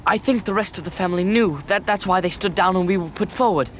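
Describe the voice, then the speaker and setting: A man's voice: sits high, quick pace, slight background noise, natural voice. A male performer acting a frightened young boy in a period audio drama, timid, anxious and hesitant. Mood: anxious pleading.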